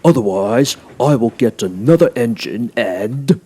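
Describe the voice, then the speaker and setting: A man's voice: medium pitch, animated, a little slow, dark tone, husky. A man voicing a dignified male railway director in a children's story, formal and authoritative. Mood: scolding.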